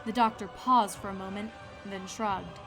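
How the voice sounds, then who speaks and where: Bright-voiced woman narrating a story. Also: mid-range voice, steady tone, normal speed. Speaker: narrator of a fan fiction reading. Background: music.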